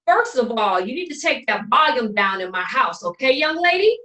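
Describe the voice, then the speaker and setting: A woman's voice: a little high, big pitch swings, normal speed, bright, forceful tone, faint music behind. A woman playing an exasperated, sarcastic eldest sister, bossy and condescending with her endearments, in a dramatic play reading. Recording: distant from the microphone.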